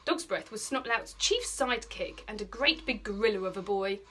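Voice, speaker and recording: A British woman in her thirties, a primary teacher, reading a children's story aloud in an expressive, explanatory way. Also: medium pitch, moderate pace, slight background noise. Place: small bright indoor room by a window.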